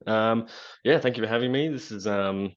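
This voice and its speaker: A man's voice: lowish voice, normal speed, highs cut off. A man in his thirties, a guest on a video call, talking through ideas thoughtfully with many fillers and restarts. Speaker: guest, business founder. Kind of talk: relaxed conversational interview. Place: small plain room indoors.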